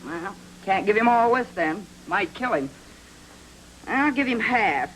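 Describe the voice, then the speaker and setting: A high-voiced accomplice in a 1940s noir. The delivery is cool, clinical and matter-of-fact, over a slightly noisy vintage soundtrack. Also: male voice, expressive, unhurried, fairly bright tone. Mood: coolly practical. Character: coolly clinical accomplice who drugs captives. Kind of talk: 1940s film noir acting. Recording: humming, noisy old film soundtrack.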